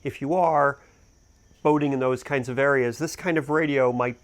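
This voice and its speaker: A man's voice: medium pitch, fairly even intonation, moderate pace. A man hosting a boating gear review, talking casually and off the cuff as he thinks aloud. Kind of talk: casual unboxing and review talk, unscripted.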